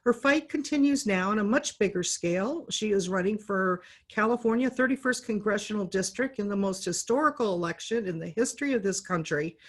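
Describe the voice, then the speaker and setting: A woman hosts an informal political interview. Her voice sits at an average pitch for a woman. She speaks effusively and supportively, in the role of an interviewer on the guest's side. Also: lively intonation, a little fast, hardly any pauses. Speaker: interviewer and host.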